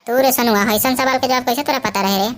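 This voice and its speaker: A woman's voice: sits high, fairly even intonation, fast, lightly acted. A woman voicing a sassy, complaining school pupil with a Bhojpuri accent, quarrelsome and tattling, in a cartoon comedy. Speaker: voice actor. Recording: very noisy background with clicks.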